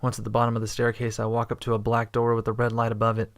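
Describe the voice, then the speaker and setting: Male narrator, first-person sci-fi audio story. Steady, understated, little pitch movement. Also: very fast. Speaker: narrator and protagonist.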